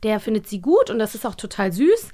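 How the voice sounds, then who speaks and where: A woman's voice: mid-range voice, very animated, brisk, mellow. A woman co-hosting a podcast in casual discussion, speaking frankly and giving her opinions openly. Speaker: podcast co-host.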